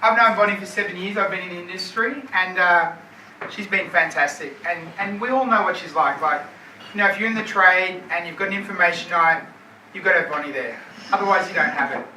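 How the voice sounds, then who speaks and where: A man's voice: high-pitched, fairly quick, pushed and bright. Man giving a casual, hesitant tribute. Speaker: industry colleague giving a tribute. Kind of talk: impromptu tribute speech. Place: indoor function venue at a party. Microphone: no microphone, far-off camera. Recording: echoey room from far off, noisy.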